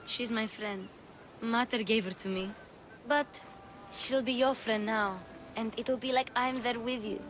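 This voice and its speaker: A woman's voice: on the high side, fairly even intonation, unhurried, slight background noise, close to an everyday voice. A woman playing a plucky, affectionate little girl in a video game, with an eager, earnest way of talking. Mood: pleading, tender. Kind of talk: cinematic video game acting.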